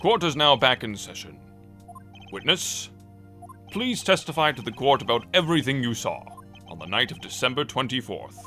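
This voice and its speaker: A man's voice: medium pitch, big pitch swings, moderate pace, pushed and bright, faint music behind. A man voicing a formal, easily puzzled elderly judge in a video game fandub. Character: befuddled, formal elderly male judge presiding in court.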